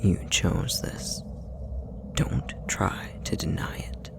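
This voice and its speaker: A man voicing a possessive, manipulative demon in an intimate ASMR roleplay, whispering with a gravelly fry in a deliberate, taunting way. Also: close to an everyday voice. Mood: cold, accusing. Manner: slow, deliberate, taunting, condescending. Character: possessive, manipulative male puppetry demon, coldly menacing.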